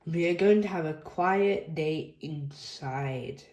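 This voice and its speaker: A woman voicing a calm, patient mother pig in a storybook, speaking gently and evenly. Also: low-pitched, slow pace. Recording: echoey room.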